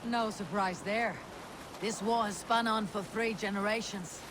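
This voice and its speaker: Game Viking warrior, higher-voiced, proud, vengeful, terse delivery, noisy water ambience behind. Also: male voice, steady tone, unhurried. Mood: defiant, dry. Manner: defiant, terse, archaic phrasing. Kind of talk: video game cutscene acting.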